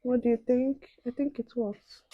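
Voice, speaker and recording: A soft-spoken young woman chatting casually to viewers while doing her makeup. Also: a little high, steady tone, moderate pace, no room sound, narrow, tinny audio. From outside the UK. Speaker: beauty and advice vlogger.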